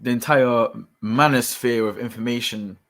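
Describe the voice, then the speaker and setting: A man's voice: mid-range voice, steady tone, normal speed, a bit bright. A man hosting a podcast interview in a casual way, feeling his way through his questions.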